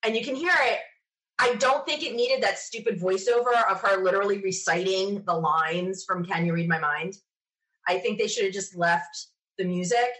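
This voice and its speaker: A woman's voice: mid-range voice, moderate pace, a bit bright, low-quality recording. Enthusiastic woman telling stories casually. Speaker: podcast interview guest, sci-fi podcaster. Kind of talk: casual conversational storytelling.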